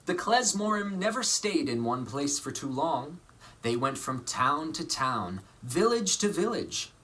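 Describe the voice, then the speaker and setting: A man reading a children's story aloud as an actor, with deliberate, theatrical phrasing and dramatic emphasis. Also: fairly high pitch, very animated, bright, forceful tone, slight background noise. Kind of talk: expressive storybook narration.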